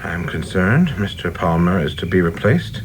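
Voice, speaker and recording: A reserved, ambitious government man in a radio drama. His voice is deep, his manner formal, dutiful and controlled, with faint noise in the background. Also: lively intonation, moderate pace. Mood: polite, guarded. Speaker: actor in a radio play. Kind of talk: dramatic radio play acting.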